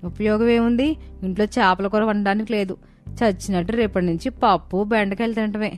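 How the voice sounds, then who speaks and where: A woman acts out a young, food-mad daughter-in-law from a cartoon family story. She is sly and conspiratorial, speaking expressively in a middle-pitched voice over music. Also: steady tone, fairly quick, natural voice. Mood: defensive, bickering. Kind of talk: dramatized moral-story cartoon acting.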